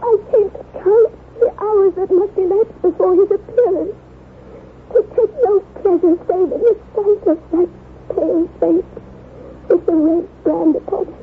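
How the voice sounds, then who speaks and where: An actress playing a frail, guilt-ridden wife in an old-time radio melodrama, speaking with solemn, mournful drama. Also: female voice, extremely high pitch, fairly even intonation, measured pace. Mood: tormented confession. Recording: tinny, noisy vintage radio recording.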